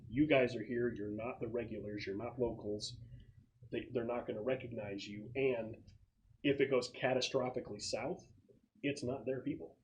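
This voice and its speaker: A man's voice: mid-range voice, fairly even intonation, moderate pace, darkish tone, a little hiss or hum, poor audio. A man acting as game master, explaining a scenario to players in a conversational way.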